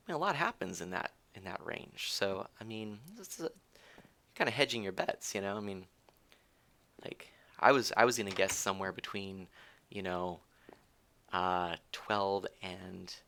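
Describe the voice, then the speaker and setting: Male streamer, lowish voice with a bright edge, giggly and teasing, rambles while he plays. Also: lively intonation, a little slow, creaky, pauses often. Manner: giggly and teasing, thinks aloud; rambling with frequent restarts. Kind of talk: casual live gameplay streaming, chatting with viewers.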